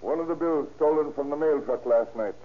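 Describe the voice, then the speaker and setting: An actor playing a shrewd, businesslike police captain with clipped speech in an old radio drama. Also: male voice, a little high, fairly quick, natural voice. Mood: brisk. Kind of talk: 1930s-40s radio acting. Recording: muffled, noisy old radio recording.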